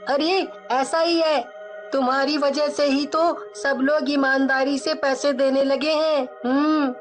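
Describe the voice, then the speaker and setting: Woman voicing a high-pitched earnest little boy. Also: fairly even intonation, slow pace. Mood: curious, earnest. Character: earnest young boy, protective older brother. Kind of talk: Hindi cartoon story dubbing. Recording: music behind the voice.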